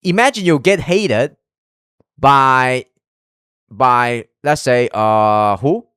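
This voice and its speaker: Young Malaysian streamer, loose rambling reaction talk, mid-pitched voice, dry close sound. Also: male voice, very slow, fairly bright tone, smooth, dry recording. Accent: Malaysian English. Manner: rambling, restarts, swears and laughs often. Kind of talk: casual reaction commentary.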